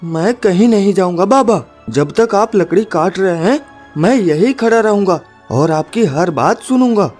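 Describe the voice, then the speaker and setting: A woman's voice: medium pitch, animated, unhurried, darkish tone, soft background music. A woman voicing a devoted, brave young son of a woodcutter, earnest and tender, in a cartoon story. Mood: uneasy, loyal.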